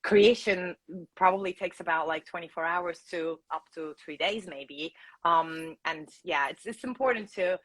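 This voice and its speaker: A woman's voice: lowish voice, measured pace, fairly bright tone, poor audio. Chatty Turkish-accented woman, laughs easily. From Turkey. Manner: chatty, laughs often, improvises. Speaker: guest, content creator and productivity coach. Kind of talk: casual interview chat. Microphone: video call.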